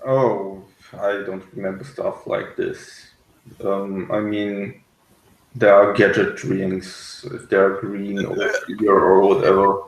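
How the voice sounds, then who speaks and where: A man in casual review chat as a co-host, speaking in a hesitant, halting way. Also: low-pitched, level tone, reverberant, low-quality recording. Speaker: podcast co-host reviewing a TV series. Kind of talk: casual review chat, partly reading notes aloud.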